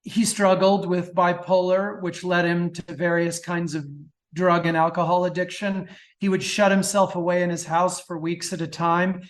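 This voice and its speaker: High-voiced enthusiastic man. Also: flat delivery, moderate pace. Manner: enthusiastic, digressive. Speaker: guest author. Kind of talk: casual interview chat.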